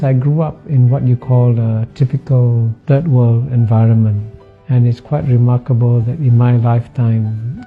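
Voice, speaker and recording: A man in his seventies from Singapore with a Singaporean English accent, a former dean giving a measured, reflective talk with frequent "uh" pauses and soft laughs. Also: medium pitch, narrow, tinny audio. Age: 70s. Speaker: former dean of a public policy school.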